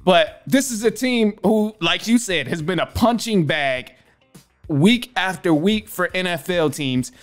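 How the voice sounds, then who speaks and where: A man's voice: sits high, animated, a little slow, faint music behind. A young man hosting a sports podcast from a home studio, reacting emphatically with lots of restarts and repeated phrases. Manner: emphatic, repetitive, stammering restarts. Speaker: podcast host. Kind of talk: casual sports commentary. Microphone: studio mic with headphones.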